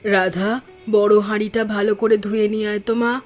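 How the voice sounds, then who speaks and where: A woman voicing a practical, caring village mother in a cartoon story, with a measured manner. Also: medium pitch, little pitch movement, soft background music, close to an everyday voice.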